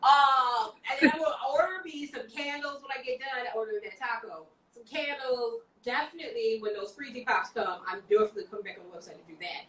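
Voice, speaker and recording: Bright, high-ish woman host, tipsy and playful, roomy sound off a distant mic. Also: fast talker, few pauses, a little hiss or hum. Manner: playful, drinking wine, slightly slurring. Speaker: podcast host. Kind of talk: casual tipsy chat.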